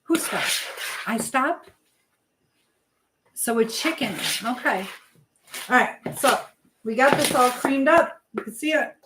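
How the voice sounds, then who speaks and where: A woman's voice: sits high, expressive, takes it slowly, long pauses. A woman hosting a casual live stream, talking in a chatty, affectionate way with viewers and reading their comments aloud. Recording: clear background noise with clicking sounds.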